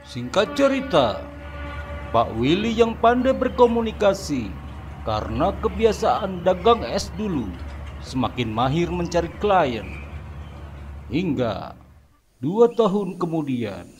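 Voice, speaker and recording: A man narrating a horror cartoon story in a steady manner. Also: a little high, slow pace, long pauses, faint music behind.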